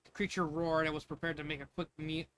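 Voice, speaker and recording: A man's voice: fairly high pitch, flat delivery, quick pace, fairly bright tone, slight background noise. A man narrating fan fiction in an informal, amateur way, stumbling over words with casual asides.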